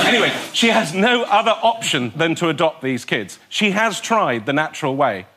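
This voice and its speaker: A male comedian making a cheeky, joke-filled debate pitch, laughing through his lines. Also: noisy background. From Swiss descent, born in Lebanon. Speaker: comedian panelist. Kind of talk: stand-up style debate pitch.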